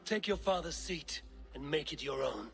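An actor playing a steady, commanding Viking advisor in a video game, with a composed, formal manner. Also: male voice, on the high side, moderate pace, gravelly, faint music behind. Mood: reassuring. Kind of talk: dramatic video game acting.

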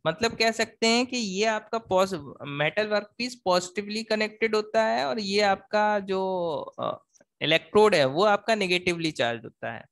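A man's voice: high voice, normal speed, fairly bright tone. A man giving an explanatory technical lecture as an engineering teacher, asking and answering his own questions, repeating points and mixing in English technical terms.

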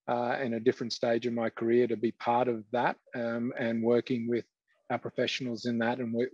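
A middle-aged man speaking as a panelist on a video call, reflective and halting, often correcting himself. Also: medium pitch, a little mellow, slightly rough, no echo. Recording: dry, dull video-call audio.

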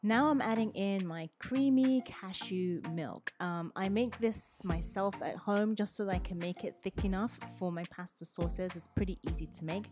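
A woman hosting a cooking video, calmly talking through each step in a voice-over. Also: mid-range voice, normal speed, few pauses. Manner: relaxed step-by-step instructions. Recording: voice-over with music underneath. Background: background music.

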